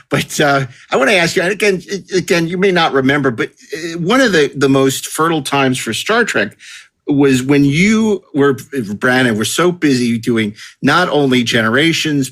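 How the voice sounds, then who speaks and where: Male podcast host, mid-pitched, conversational, rambling into questions with repeated phrases. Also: a bit bright. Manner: rambling, repeats words while forming questions. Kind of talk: casual interview chat.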